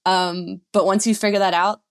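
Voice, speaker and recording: A woman's voice: mid-range voice, flat delivery, normal speed, clear, resonant tone. A woman sex and relationship coach talking through ideas in a casual podcast chat, confident and explanatory.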